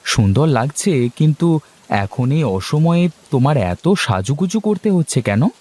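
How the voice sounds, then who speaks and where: A man's voice: a little high, very animated, a little slow, a little mellow, breathy edge, exaggerated voice. A man voicing an earnest, put-upon village farmer and husband in a cartoon story, plain-spoken and complaining. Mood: affectionate, puzzled. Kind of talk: Bengali cartoon story voice acting.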